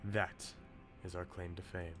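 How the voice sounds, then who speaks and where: A man reading a brooding, self-sacrificing young man's inner thoughts from a visual novel, low and subdued. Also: little pitch movement, unhurried, over music. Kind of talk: visual novel read-aloud.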